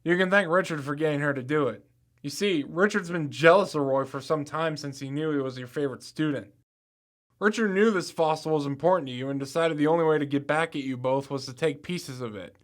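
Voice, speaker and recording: A man's voice: fairly high pitch, fairly quick, close to an everyday voice. A man playing a confident young amateur campus detective in an audio drama, matter-of-fact with wry asides.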